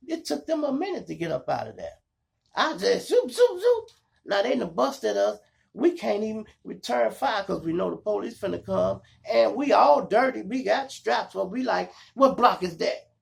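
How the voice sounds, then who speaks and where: A man with a Chicago accent and a gravelly voice, telling street stories casually to his viewers in slangy, profane talk. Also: high-pitched. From Chicago, United States. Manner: animated retelling, slangy, profane, acts out dialogue and sound effects. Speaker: storytelling podcast host.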